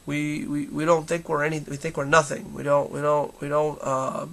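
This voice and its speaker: Hoarse, bright-voiced man, gravelly edge. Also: fairly high pitch, monotone, normal speed. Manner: earnest, encouraging, with many restarts and fillers. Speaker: church leader giving a daily video devotional. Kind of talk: casual devotional vlog.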